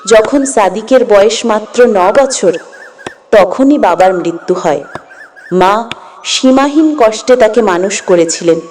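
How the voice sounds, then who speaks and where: A woman storyteller speaks very slowly in a fairly high voice. She narrates a scripted tale with measured, expressive phrasing, over faint background noise.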